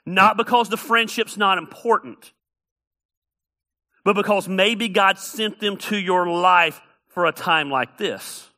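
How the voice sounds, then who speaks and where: A man's voice: high-pitched, slow, pushed and bright. A middle-aged man preaching a sermon as pastor, emphatic and repeating key phrases for effect.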